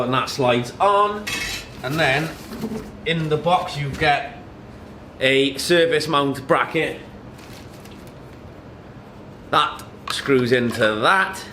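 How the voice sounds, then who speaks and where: A man's voice: a little high, fairly bright tone, a little hiss or hum. Man giving a matter-of-fact product walkthrough. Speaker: product demonstrator.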